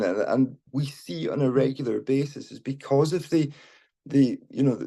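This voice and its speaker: Scottish man, informal speaker, mellow tone, on a phone line to a call. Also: medium pitch, gravelly. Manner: informal, with many fillers and restarts. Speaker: charity community team lead. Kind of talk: unscripted talk to an online meeting. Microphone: mobile phone.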